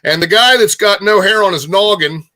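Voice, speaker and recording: A man's voice: high voice, moderate pace, pushed and bright. A man hosting a music show in a casual, informal chat, repeating phrases for emphasis.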